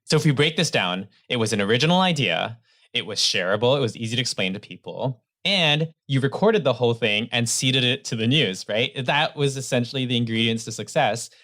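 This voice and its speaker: A man's voice: medium pitch, animated, a little fast, bright, forceful tone. A man hosting an interview, upbeat and enthusiastic, summing up his guest's points. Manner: upbeat, enthusiastic, sums things up. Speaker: interview host.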